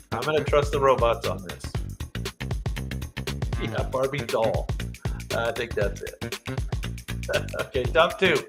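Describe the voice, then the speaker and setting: An older man hosting a live trivia night, chatty and jokey, reading clues with playful drama and laughing often. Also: fairly high pitch. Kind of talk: live-streamed trivia chat with viewers. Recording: music playing under the voice.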